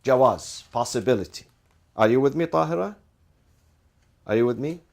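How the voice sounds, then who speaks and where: A man's voice: medium pitch, expressive, moderate pace, vocal fry, darkish tone. A man teaching a class, posing rhetorical questions, answering them himself and repeating key phrases, with Arabic legal terms mixed in. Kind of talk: classroom lecture.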